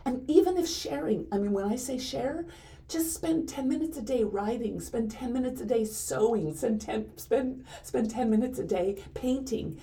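Encouraging woman with a mellow voice. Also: on the high side, moderate pace. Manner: earnest, encouraging, preachy. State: a little hoarse. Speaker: podcast co-host. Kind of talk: casual chat.

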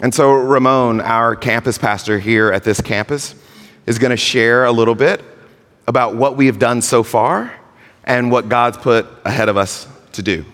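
A man preaching a sermon as pastor, earnest, with drawn-out phrasing. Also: mid-range voice, a bit bright. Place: church sanctuary. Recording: long hall reverb.

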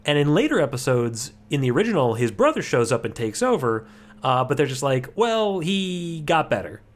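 A man's voice: mid-range voice, big pitch swings, brisk. A man co-hosting a podcast, explaining things casually with a wry, playful manner. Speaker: podcast co-host.

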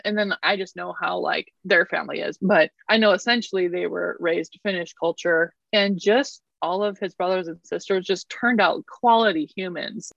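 A woman's voice: medium pitch, creaky, no echo, muffled recording. A woman in her thirties from Canada, chatting as an interview guest with a self-deprecating, laughing manner. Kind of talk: casual interview chat.

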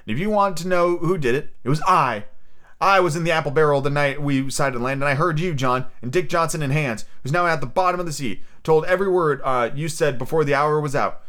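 A man's voice: on the high side, expressive, brisk, fairly bright tone, voice pushed up. A man voicing a bold, defiant boy hero in a pirate adventure story, earnest and declaiming. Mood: bold, excited.

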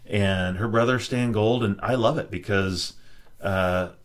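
A man's voice: fairly low pitch, measured pace. A middle-aged man who hosts a podcast, talking in a reflective, casual way with many restarts and "you know"s. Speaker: podcast host. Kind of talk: casual conversation.

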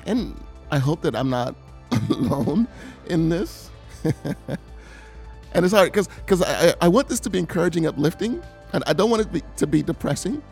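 A man giving an earnest, confessional sermon testimony, often halting and repeating words as he speaks. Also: fairly high pitch, sing-song, creaky, darkish tone, soft background music.

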